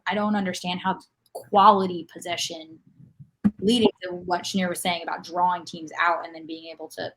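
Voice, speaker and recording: A woman's voice: medium pitch, low-quality recording. A woman co-hosting a soccer fan podcast, talking through ideas in long, winding explanations. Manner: long thoughtful run-on explanations. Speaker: podcast co-host. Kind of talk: casual analytical chat.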